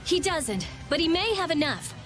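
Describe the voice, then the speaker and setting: A woman voicing a sharp-tongued teenage girl genius, brusque and skeptical, in a video game cutscene. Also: extremely high pitch, very animated, moderate pace, soft background music, heavily acted. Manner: brusque, matter-of-fact, skeptical.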